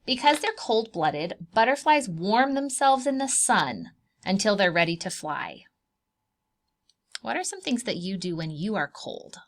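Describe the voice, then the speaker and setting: A woman with a slightly low voice reads a nonfiction picture book to preschool children. She explains things in simple words, stresses key words expressively and often asks her young listeners questions. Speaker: children's read-aloud host and preschool teacher. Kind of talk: read-aloud lesson for young children.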